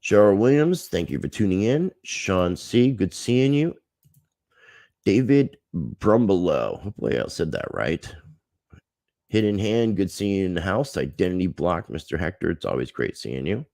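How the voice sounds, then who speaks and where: Very deep, creaky-voiced man hosting a livestream. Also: big pitch swings, moderate pace, dark tone, dry recording, studio quality. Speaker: livestream host. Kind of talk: casual livestream chat to viewers.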